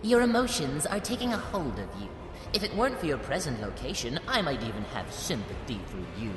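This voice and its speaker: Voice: mid-range voice, very animated, a little fast, background noise, natural voice. An actor playing an amused, condescending alien being in a sci-fi parody, with a mocking, nonchalant and theatrical delivery. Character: amused, condescending, detached alien being feeding on mental energy. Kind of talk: animated sci-fi parody voice acting. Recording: long echoing reverb with music behind.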